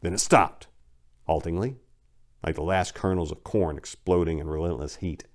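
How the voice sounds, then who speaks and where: A man's voice: deep voice, normal speed. A man giving a dramatic scripted reading of gritty crime fiction as narrator, with streetwise vernacular. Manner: profane Southern street vernacular.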